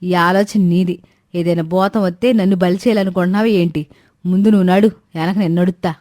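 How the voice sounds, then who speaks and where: A woman's voice: lowish voice, steady tone, brisk, warm tone, dry recording, clean studio sound, lightly acted. A woman voicing a sarcastic, wary older village mother-in-law in a cartoon story, scolding in rustic colloquial speech. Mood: suspicious. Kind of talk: animated Telugu moral story dialogue.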